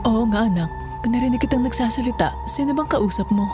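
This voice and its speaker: A woman's voice: a little high, fairly quick, natural voice. An actress playing a concerned but firm mother of a troubled child in an audio drama. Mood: coaxing. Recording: music under the voice.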